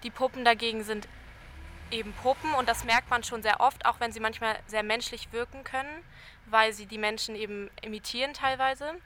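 A woman's voice: a little high, steady tone, normal speed, sharp tone, slight background noise. A young woman, a soprano from Berlin, answering interview questions thoughtfully and searching for words. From Berlin, Germany. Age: young adult. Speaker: soprano singer.

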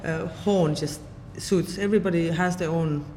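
A woman's voice: fairly low pitch, steady tone, mellow. Estonian woman saxophonist, reverberant hall. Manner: reflective, halting with many fillers and restarts. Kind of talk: interview answers. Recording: long hall reverb, faint noise.

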